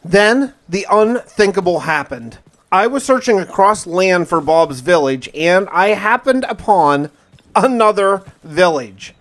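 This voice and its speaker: Male gamer-narrator, slightly high voice, diary-style survival recap, casual yet dramatic storytelling with dry asides. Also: animated, unhurried, fairly bright tone.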